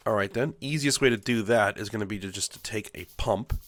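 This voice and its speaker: A man's voice: lowish voice, brisk, fairly bright tone, no echo. A man with a stuffy nose from a cold, casually thinking aloud as he plays and builds in a game. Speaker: let's play host. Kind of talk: casual gameplay commentary.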